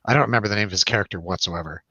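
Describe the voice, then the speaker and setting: A man's voice: fairly low pitch, rapid-fire, bright, forceful tone, husky, dry recording, lo-fi sound. A man hosting a casual film review chat, rambling and jokey, putting questions to his co-host. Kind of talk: casual chatty film discussion over drinks.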